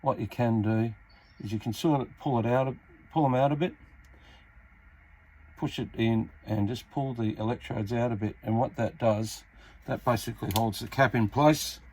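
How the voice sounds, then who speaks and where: A man giving an informal, matter-of-fact repair tutorial, pausing mid-sentence as he works. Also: medium pitch, fairly even intonation, darkish tone, a little hiss or hum. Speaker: hobbyist radio repairer, video host. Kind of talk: casual hands-on repair tutorial.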